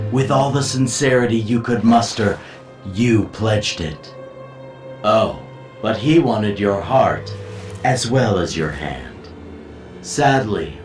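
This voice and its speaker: A slow, silky-voiced schemer for a fantasy game, lowish and wildly expressive in pitch. He is eloquent and insinuating, set in an echoey space with music behind him. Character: smooth, theatrical, scheming male manipulator counseling heroes. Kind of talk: video game cutscene acting. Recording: roomy echo, distant, music behind.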